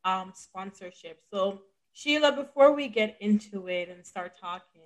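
A woman's voice: mid-range voice, lively intonation, measured pace, rough recording. A woman hosting a podcast interview, upbeat and casual, with many fillers. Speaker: podcast host.

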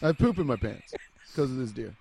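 A man's voice: mid-range voice, animated, brisk, gravelly, warm tone, slight background noise. A guest comedian, a man, improvising in a rambling, stammering, profane way over a video call.

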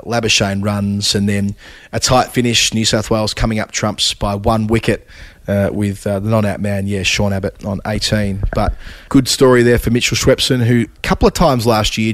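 A man, hoarse and raspy from a cold, hosting a casual cricket chat full of scores and restarts. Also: lowish voice, no echo. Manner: stat-heavy, with frequent fillers and restarts. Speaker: cricket podcast host.

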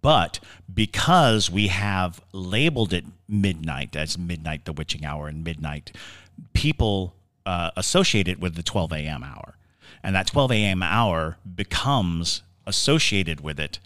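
A man with a very deep voice, recorded dry and close. He explains things conversationally as a podcast co-host, pausing and doubling back on words as he goes. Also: animated, fairly bright tone, no echo. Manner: halting, explanatory, repeats words while forming thoughts.

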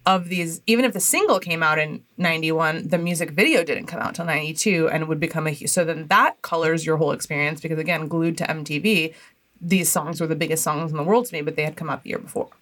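Woman host in her forties, very low voice, teasing and playful in casual podcast chat. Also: fast, fairly bright tone. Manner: playful teasing, calls people babe.

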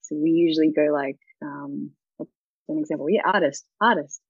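A woman's voice: low voice, moderate pace, husky, dry recording, narrow, tinny audio. A young woman with an Australian accent, a singer chatting in an interview, bubbly and rambling with lots of giggles.

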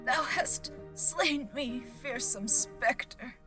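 Woman playing an earnest, unhurried understudy actress. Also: high voice, bright, forceful tone, soft background music, heavily acted. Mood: dying, theatrical. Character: earnest understudy actress rehearsing Shakespearean tragedy. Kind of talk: audio drama acting.

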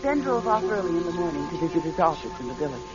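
A woman's voice: lowish voice, very animated, fast talker. Radio drama female lead: practical woman in love, uneasy, dramatic storytelling delivery, muffled recording over music. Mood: hushed, suspenseful. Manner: hushed, dramatic storytelling, earnest pleading in dialogue. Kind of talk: radio drama acting and first-person suspense narration.

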